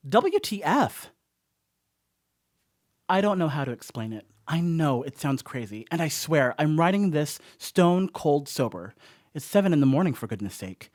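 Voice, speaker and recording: Radio play lead: man playing a rattled young guy, slightly high voice, rambling and defensive, conversational with emphatic bursts. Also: a little mellow, natural voice. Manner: conversational, self-excusing, emphatic swings. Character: rattled, rambling young male house sitter writing a confessional note. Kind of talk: dramatic first-person radio play monologue.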